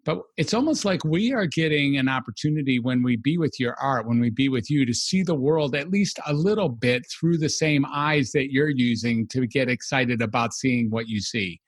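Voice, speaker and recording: A man's voice: mid-range voice, moderate pace, hardly any pauses, dry recording, studio quality. A man hosting a podcast interview, affirming and effusive toward his guest. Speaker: podcast host.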